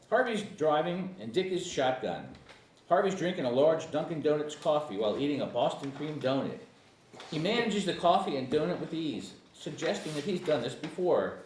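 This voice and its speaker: A man reading screenplay action lines at a comedic table read, casual, stumbling over words and chuckling. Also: on the high side. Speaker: screenplay narrator and reader. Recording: echoey room, far from mic, faint noise.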